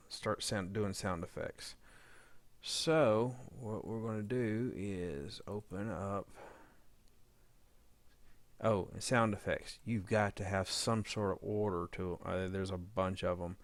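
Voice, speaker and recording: A man with a low voice and plenty of gravelly fry walks through an editing demo. He talks casually and off the cuff. His delivery meanders as he thinks out loud. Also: no echo. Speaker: audio drama editor giving a demo. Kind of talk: casual unscripted screen-recorded tutorial.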